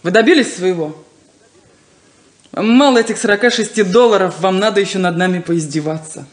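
A woman's voice: medium pitch, normal speed, lightly acted. Woman playing proud sceptical farmer's daughter, roomy sound. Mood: indignant. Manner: scornful, pointed, theatrical. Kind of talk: 1970s Soviet radio play acting. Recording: roomy old radio play, music.

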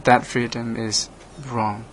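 A man from Laos, a native Lao speaker, talks slowly in an even, flat tone. He restarts his sentences often and laughs now and then. A faint hiss sits under the recording. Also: medium pitch, low-quality recording. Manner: halting, hesitant, frequent restarts, laughs often. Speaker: radio program host, monk. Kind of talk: casual conversation in English.

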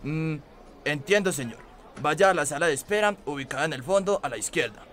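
A man's voice: fairly high pitch, animated, a little fast, fairly bright tone, slight background noise. A man playing a stiff, officious police officer in a radio drama. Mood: bureaucratic.

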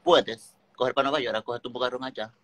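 A man's voice: mid-range voice, steady tone, fast talker, a bit bright. A man with a Dominican accent, talking casually and sassily, dropping in English phrases. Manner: sassy, mixes English phrases into talk. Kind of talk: casual chat.

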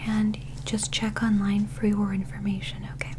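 A young woman doing a soft-spoken ASMR medical roleplay in a breathy whisper, gentle, soothing and reassuring, close to the microphone. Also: medium pitch, monotone, moderate pace, background noise. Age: young adult.

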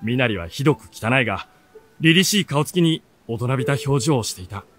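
An actor playing an earnest seventeen-year-old bookish runaway who narrates and speaks in a radio drama. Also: male voice, mid-range voice, a little fast, faint music behind, close to an everyday voice. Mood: curious narrating. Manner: expressive, earnest storytelling.

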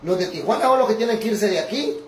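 A man's voice: sits high, lively intonation, quick pace. A young man, ranting casually to camera. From Honduras. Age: young adult. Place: small bare room indoors. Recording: echoey, distant, noisy phone video.

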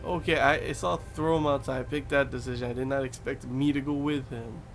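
A man's voice: medium pitch, steady tone, fairly quick. A young man giving casual reaction commentary as he plays a game, on a headset in a small room. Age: young adult. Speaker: let's play gamer. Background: game music.

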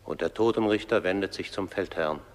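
An actor playing the solemn narrator of an underworld trial in a radio drama, measured and declamatory. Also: male voice, sits low, close to an everyday voice. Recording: long reverberant hall.

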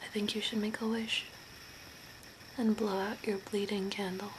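A young woman playing an eerie, courteous zombie in a slow, deliberate, breathy ASMR whisper. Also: mid-range voice, flat delivery, natural voice, low-quality recording. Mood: softly intrigued. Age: young adult. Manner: slow, deliberate, softly spooky. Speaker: ASMR role-play performer. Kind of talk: whispered ASMR role play. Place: small room indoors by a curtained window. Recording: clear background noise, ambient hiss.

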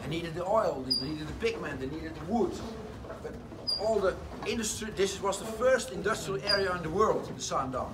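Guy giving a lively mill tour, recorded from a bit far off, noisy around him. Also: high voice, moderate pace. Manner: lively storytelling, slightly broken English phrasing. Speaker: windmill guide. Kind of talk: guided tour talk. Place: large wooden sawmill interior. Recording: distant voice with noisy background.

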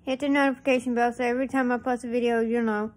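A woman's voice: fairly high pitch, level tone, very fast, a little mellow. A woman in her thirties casually reviewing snacks for a home vlog, talking plainly and homespun into a phone held at arm's length in her living room. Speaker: vlogger. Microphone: phone camera at arm's length.

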